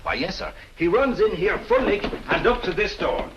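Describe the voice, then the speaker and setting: Excitable working-class British guard. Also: male voice, sits high, normal speed, lo-fi sound, background noise. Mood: eager explaining. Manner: excitable, colloquial, protesting. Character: defensive, deferential working-class male museum guard.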